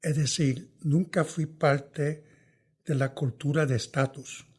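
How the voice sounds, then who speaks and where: An older man, his voice a touch husky and pitched a little high for a man. He talks things through slowly and thoughtfully, with pauses, and his sentences often fade away quietly at the end. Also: fairly even intonation. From New York. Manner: thoughtful, hesitant, trails off softly. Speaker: veteran journalist guest. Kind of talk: reflective interview answers.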